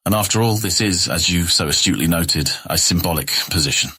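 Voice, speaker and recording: An actor playing a scheming, condescending noble brother who mocks his younger sibling with cold sarcasm in a husky voice. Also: sits low, fairly quick, pushed and bright, a little hiss or hum. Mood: smug. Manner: smug, mocking, coldly sarcastic. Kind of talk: audio drama acting.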